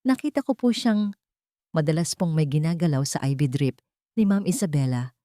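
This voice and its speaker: A woman voicing an elderly female nurse in story dialogue, respectful, fearful and halting. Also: low voice, expressive, warm tone, no room sound, studio quality. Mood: fearful, hesitant. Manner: deferential, halting.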